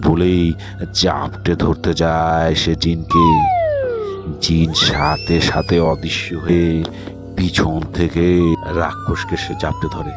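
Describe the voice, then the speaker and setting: Deep, slow-telling storybook narrator man. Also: music in the background. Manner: steady, unhurried storytelling.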